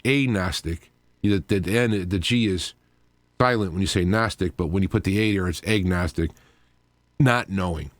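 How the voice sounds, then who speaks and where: A man's voice: lowish voice, no room sound. A man hosting a live radio show in an informal, rambling monologue, explaining things as he goes with frequent asides.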